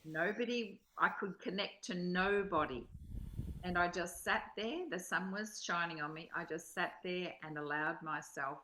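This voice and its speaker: A woman's voice: lowish voice, measured pace, sharp tone, poor audio. A woman in a spiritual study group, sharing reflectively and reading aloud in an earnest, introspective way.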